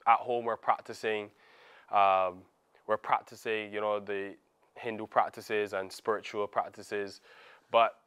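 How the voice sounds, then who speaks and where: A man's voice: on the low side, level tone, unhurried, a bit bright, clean tone. A man from Barbados with a Barbadian accent, speaking reflectively as an interviewee in a documentary.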